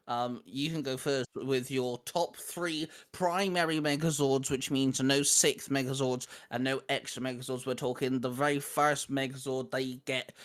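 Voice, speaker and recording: A man's voice: medium pitch, a bit bright. A man hosting a casual top-list chat, talking in an enthusiastic, emphatic way. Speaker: video host.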